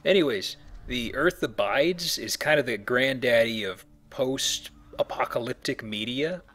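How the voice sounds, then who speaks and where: A man's voice: mid-range voice, a bit bright. A man hosting a Let's Play, explaining things in a casual, conversational commentary. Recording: faint background noise.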